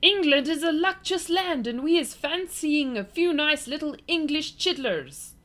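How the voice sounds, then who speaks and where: A woman voicing brutish, gloating male giants who shout as they gallop past. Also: sits high, lively intonation, measured pace, pitched above the performer's own voice.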